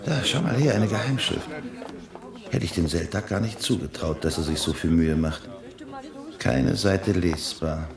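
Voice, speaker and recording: A low-voiced man in a seventies German radio drama. He is curious and wry, with a musing, sardonic way of talking. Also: a little slow, gravelly, crowd noise. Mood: amused, intrigued. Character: curious, wry man deciphering a coded document. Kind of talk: 1970s German radio play acting.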